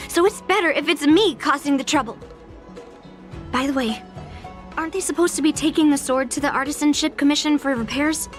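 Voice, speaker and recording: A woman's voice: high-pitched, brisk, soft background music, natural voice. A woman playing a serious, headstrong young swordswoman in a video game, speaking with defiant determination. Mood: restless, stubborn. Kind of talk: video game character acting.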